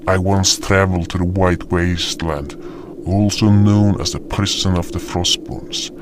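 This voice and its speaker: A man voicing a deep, slow beast guardian. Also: fairly even intonation. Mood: reflective, measured. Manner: slow, deliberate storytelling with hesitations. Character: gentle, proud, hulking male beast guardian narrating his past. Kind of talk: fantasy audio drama narration and dialogue. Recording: noticeable background noise.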